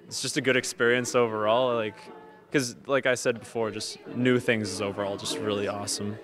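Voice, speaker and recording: A man's voice: fairly low pitch, flat delivery, fairly quick, a bit bright, faint music behind. A teenage boy who is a student actor, answering interview questions eagerly with many fillers, speaking into a handheld mic backstage. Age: teens. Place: backstage by a curtain.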